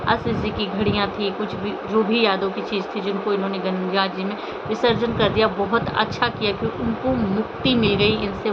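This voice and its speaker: A woman vlogger gives unscripted, scolding commentary in a mid-pitched voice. She sounds indignant and often puts rhetorical questions to the listener. The sound is muffled and lo-fi, with very loud vehicle and ambient noise around her. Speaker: social media vlogger commenting on online drama. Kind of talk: unscripted opinion commentary on a personal scandal.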